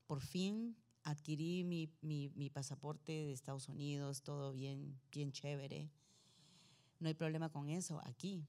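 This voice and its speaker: Low, mellow woman giving testimony. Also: steady tone, measured pace. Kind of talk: personal testimony, halting delivery.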